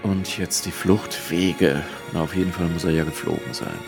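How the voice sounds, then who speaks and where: An impatient male investigator in a radio drama. His low voice is conversational and questioning, and music plays clearly behind him. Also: fairly even intonation, normal speed. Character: impatient male investigator puzzling over theories. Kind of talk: radio play acting.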